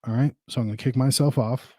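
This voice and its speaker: A man's voice: mid-range voice, fairly even intonation, fast talker, darkish tone, dry recording, studio quality. A man hosting a podcast solo, rambling casually and informally with plenty of swearing. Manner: profane, informal. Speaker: podcast host.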